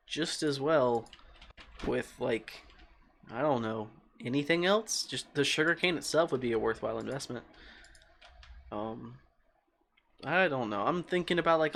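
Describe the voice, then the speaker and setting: A man livestreams a game in a calm, easygoing voice that sits at an ordinary middle pitch. He rambles as he thinks out loud and replies to his chat. Faint keyboard clicks and a light hiss sit under him. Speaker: game streamer. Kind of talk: casual livestream chat while playing.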